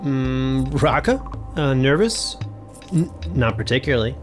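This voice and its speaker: A man playing a reserved, bookish scholar in a fantasy audio drama, matter-of-fact and a little halting. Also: mid-range voice, animated, close to an everyday voice. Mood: dismissive. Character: reserved, bookish scholar, dismissive yet awkwardly polite. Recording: music behind the voice.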